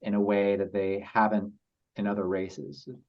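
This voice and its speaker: A man speaking as a podcast guest, telling personal stories in a halting, reflective and earnest way. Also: lowish voice, steady tone, vocal fry. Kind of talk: casual interview storytelling. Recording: slightly dull lo-fi audio.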